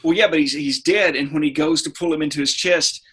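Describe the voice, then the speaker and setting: A man co-hosting a podcast, talking casually about film in a halting, explanatory, trivia-minded way. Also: a little high, fairly even intonation, a bit bright, husky. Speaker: podcast co-host. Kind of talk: casual film discussion among friends.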